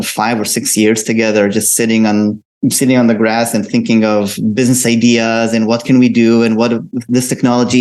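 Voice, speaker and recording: A middle-aged man answering interview questions as a tech founder, conversationally, often pausing on ums and uhs. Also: mid-range voice, darkish tone. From Israel. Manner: hesitant pauses with frequent ums and uhs. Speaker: interview guest, tech company co-founder and CEO.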